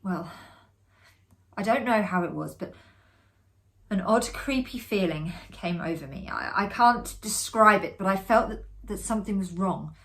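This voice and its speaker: A woman voicing a shaken, sheepish young man in a ghost story, halting and repeating words. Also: mid-range voice, animated, fairly bright tone. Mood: uneasy, confiding. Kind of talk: performed reading of a ghost story.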